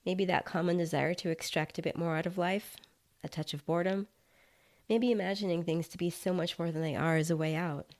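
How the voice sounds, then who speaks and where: Displaced spirit of a writer, female reader, uneasy and inquisitive, low-pitched with some gravel, for audio fiction. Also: fairly even intonation, a little fast, a little mellow, lightly acted. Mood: thoughtful. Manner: questioning, hesitant, polite. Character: uneasy, curious disembodied writer floating outside own body.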